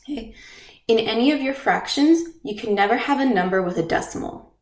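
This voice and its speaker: A woman's voice: on the high side, expressive, brisk. A woman teacher with a stuffy nose from a cold, patiently explaining fractions step by step and often checking in with "okay?". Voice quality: nasal. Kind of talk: recorded math lesson. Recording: echoey room.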